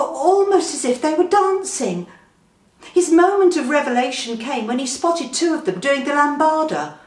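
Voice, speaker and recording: Slow, theatrical British woman storyteller, roomy sound. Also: a little high. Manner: earnest, self-important, theatrical. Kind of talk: dramatic comic first-person story reading. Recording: roomy, slightly distant microphone.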